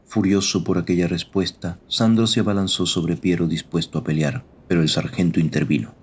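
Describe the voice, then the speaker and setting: Casting: male narrator for scripted suspense fiction, very deep bass, faint rumbly noise behind. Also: steady tone, a little fast. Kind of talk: scripted dramatic story narration.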